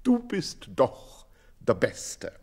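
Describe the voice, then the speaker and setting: A man voicing a self-satisfied, well-fed gentleman in a storybook, savouring his words. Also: medium pitch, wildly swooping pitch, extremely slow, heavily acted. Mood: doting. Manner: doting, savouring.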